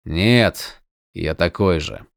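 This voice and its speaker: A man's voice: low-pitched, drawn-out words, creaky, no echo, clean studio sound, voice pushed down, full character voice. A man voicing a weary, wounded young spearman in a fantasy audiobook, speaking in a tired, resolute near-whisper. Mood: subdued.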